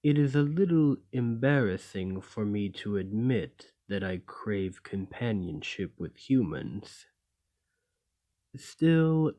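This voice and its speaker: A man voicing a gentle, lonely golem in an ASMR roleplay, speaking in a formal, measured and courteous way. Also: on the low side. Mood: lonely, confiding.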